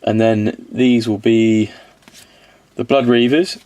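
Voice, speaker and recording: A man with a slight cold-hoarse voice, casually reviewing miniatures, rambling and correcting himself as he goes. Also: medium pitch, steady tone, slow, darkish tone, rough recording. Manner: rambling, self-correcting, counts aloud.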